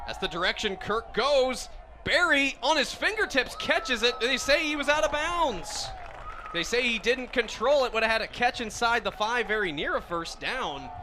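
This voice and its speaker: An American man doing live play-by-play commentary of a football game as a radio announcer. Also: high-pitched. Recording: loud outdoor stadium noise behind voice.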